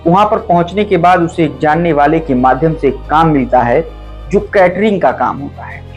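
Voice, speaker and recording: A man's voice: fairly high pitch, moderate pace, soft background music. A man narrating a true crime story in an earnest, emphatic storytelling manner, speaking to listeners as friends.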